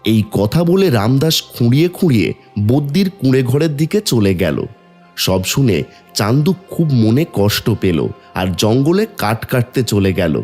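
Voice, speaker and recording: Guy narrating a cartoon folk story, very expressive pitch, music in the background. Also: normal speed.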